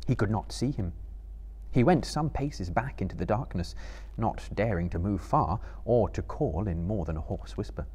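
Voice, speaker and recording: A man in his thirties giving a dramatic literary narration to a live audience, with measured, solemn storytelling. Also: on the low side, expressive, mellow, husky. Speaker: reader performing for a live audience. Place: small indoor stage before an audience.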